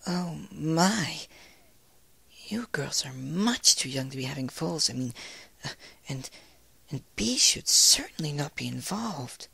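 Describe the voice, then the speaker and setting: A man voicing a timid, soft-spoken female pegasus pony in a fan fiction reading, hesitant and breathy. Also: fairly high pitch, animated, takes it slowly, long pauses, no echo, voice pushed up, full character voice. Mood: flustered, hesitant. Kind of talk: fan fiction read aloud in character.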